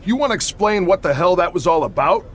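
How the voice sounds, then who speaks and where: A man's voice: sits high, animated, fast talker. A middle-aged American man playing a stern, controlling veteran wrestler who leads a faction, blunt and commanding, in video game dialogue. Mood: angry.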